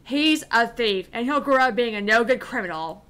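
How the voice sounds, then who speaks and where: A woman voicing a haughty, self-righteous, scornful mother in a visual novel game, with drawn-out indignation. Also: fairly high pitch, animated, a bit bright, smooth. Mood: haughty, outraged.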